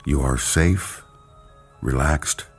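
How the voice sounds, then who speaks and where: An actor playing a hypnotist doctor in a radio drama, formal and measured, soothing with patients yet protective of his dignity. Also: rumbling low, faint music behind, full character voice. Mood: soothing, hypnotic.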